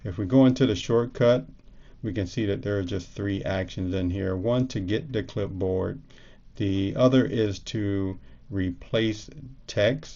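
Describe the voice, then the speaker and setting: A man's voice: low-pitched, gravelly, warm tone, highs cut off. A man presenting a casual step-by-step tech tutorial as a screencast.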